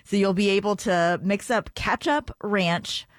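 A woman's voice: medium pitch, measured pace, no room sound, clean studio sound. A young woman co-hosting morning radio, reading entertainment and lifestyle news in an upbeat, chatty way. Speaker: pop culture co-host.

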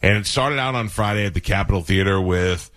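A man with a very low voice hosts a radio show from a dead, dry studio, recounting events in a loose, rambling way. He drifts through fillers and asides in a matter-of-fact tone. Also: fairly quick, a bit bright, dry recording. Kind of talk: casual radio chat.

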